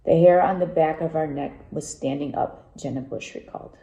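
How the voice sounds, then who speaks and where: Woman, very mellow dark tone, gravelly fry, read-aloud storytelling, echoey room. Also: sits low, normal speed. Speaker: author reading aloud. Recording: roomy echo.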